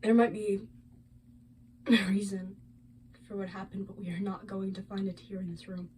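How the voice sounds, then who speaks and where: An amateur actress performing a stage monologue as a grieving, principled mother, halting and anguished. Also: medium pitch, flat delivery, noisy background, close to an everyday voice. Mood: solemn. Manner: halting, anguished, weighty pauses. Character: grieving, principled mother who lost her daughter.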